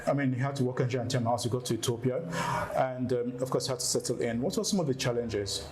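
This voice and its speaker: A man at a middle pitch interviews a guest, asking his questions hesitantly with frequent "um"s. The room around him is echoey, and a faint hiss sits under his voice. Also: quick pace. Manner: hesitant, many "um" fillers.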